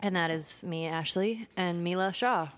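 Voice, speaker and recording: A woman's voice: on the low side, normal speed. A young woman co-hosting a casual podcast, chatting and giggling with a friend. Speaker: podcast co-host. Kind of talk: casual chat.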